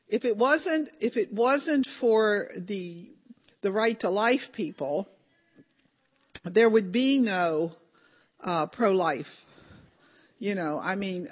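Older woman, slightly hoarse, deep voice, hesitant, frequent ums, conference talk. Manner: hesitant, many ums and restarts. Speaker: conference speaker. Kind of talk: informal talk with prayer.

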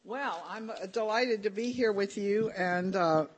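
Slightly hoarse older woman, hesitant. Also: a little slow. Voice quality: deep for a woman. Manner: hesitant, many ums and restarts. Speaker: conference speaker. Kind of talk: informal talk with prayer.

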